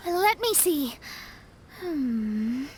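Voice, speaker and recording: A woman's voice: sits high, big pitch swings, drawn-out words, background noise, exaggerated voice. A woman voicing an earnest young female investigator in a video game dub, speaking in an articulate, explanatory way.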